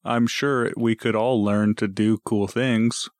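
A man's voice: lowish voice, fairly even intonation, slow pace, dry recording, clean studio sound. A man chatting casually as a podcast co-host, wry, chipping in and acting out imagined remarks. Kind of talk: casual chat.

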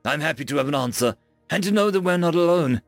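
A man's voice: a little high, expressive, fast, vocal fry, dry recording, soft background music, pitched above the performer's own voice. A man voicing a friendly male human starship captain who talks earnestly and gets a little flustered.

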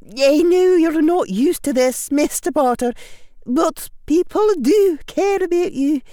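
Man as a high-pitched, stern Scottish witch professor. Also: animated, dark tone, no room sound, studio quality, full character voice. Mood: stern, gentle. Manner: precise, strict, measured.

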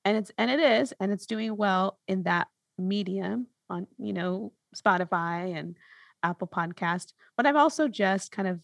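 A woman's voice: mid-range voice, a little slow, creaky, no echo. A woman hosting a podcast, talking casually and reflectively, thinking through her points with lists and examples. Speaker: podcast host.

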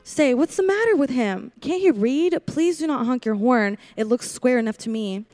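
Woman acting wistful young waitress, higher-pitched, radio drama. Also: animated, normal speed, darkish tone. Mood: irritated. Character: young lonely drive-in waitress, wistful, wary, narrating her story. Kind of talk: 1940s radio play acting, first-person narration.

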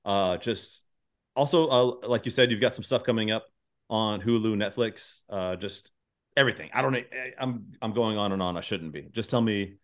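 Low-voiced man hosting a casual podcast. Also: moderate pace. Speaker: podcast host. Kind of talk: casual interview chat.

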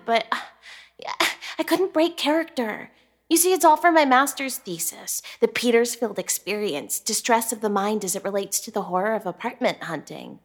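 A bright, high voice for a theatrical young grad student in a wolf costume. She lurches from hammy, bone-hungry villainy to rambling, sheepish apology. It sounds like a comedic radio play. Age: young adult. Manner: swings from hammy menace to flustered apologetic rambling. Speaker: graduate student staging an immersive horror show.

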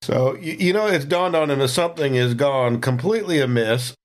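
A man's voice: medium pitch, brisk. A man playing a tabletop game, casually narrating what his character does. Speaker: player.

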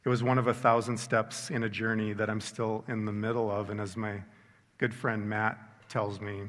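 A middle-aged man with a fairly low voice shares a heartfelt faith testimony. He is measured and reflective, and he pauses and slows down to stress his points. His words ring out with a long hall reverb. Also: little pitch movement, fairly bright tone. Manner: sincere, measured, with slow emphatic pauses. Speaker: speaker giving a personal faith testimony. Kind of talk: earnest reflective testimony talk.

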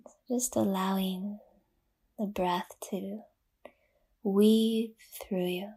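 Female meditation guide for yoga nidra. Soft, dark, breathy tone, half-whispered, unhurried phrasing with generous pauses. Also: medium pitch, fairly even intonation.

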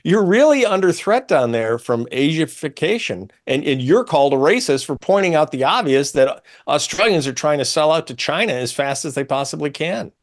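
A man's voice: a little high, sing-song, normal speed, hardly any pauses. Emphatic middle-aged American man. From United States. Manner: emphatic, pedantic, explanatory. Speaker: talk radio host. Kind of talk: opinionated radio talk.

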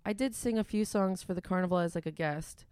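A young adult woman with a Wisconsin accent, a musician chatting casually in an interview, full of "like" and "um" and quick to laugh. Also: fairly low pitch, a little mellow, clear, resonant tone, no echo. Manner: chatty, frequent "like" and "um", laughs easily. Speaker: musician guest.

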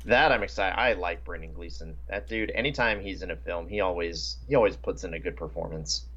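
Low male voice, slightly muffled recording. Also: fairly quick, a bit bright. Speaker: podcast co-host. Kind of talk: casual chat.

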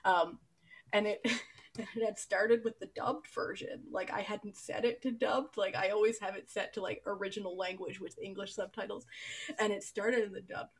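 Gravelly, husky-voiced woman podcast host. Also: medium pitch, steady tone, normal speed. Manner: joking, chatty, many fillers. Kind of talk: casual podcast chat.

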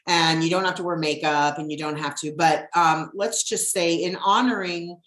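A middle-aged woman with a deep husky voice, hosting her podcast in casual chat, rambling with plenty of ums. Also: fairly even intonation, moderate pace, fairly bright tone, echoey room. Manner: chatty, rambling, with frequent ums. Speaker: podcast host.

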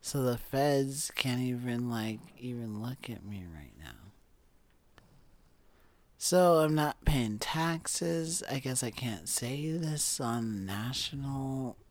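A man guesting on a comedy podcast, speaking at an unhurried pace. He trails off and rambles, landing his jokes in a deadpan way. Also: medium pitch, no room sound. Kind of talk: casual comedy podcast banter.

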